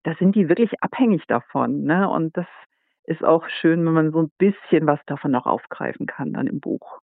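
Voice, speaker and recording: A man's voice: fairly high pitch, normal speed, vocal fry, dry recording. A man from Saxony, an author, explaining his book at length in an interview. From Saxony, Germany. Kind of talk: interview guest. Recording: tinny phone-like line.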